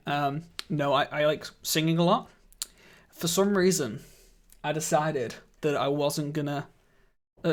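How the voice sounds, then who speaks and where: Chatty man, slightly croaky. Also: fairly high pitch. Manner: chatty, laughs often, greets and thanks viewers. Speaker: streamer. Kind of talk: casual live-stream chat with viewers. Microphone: studio microphone.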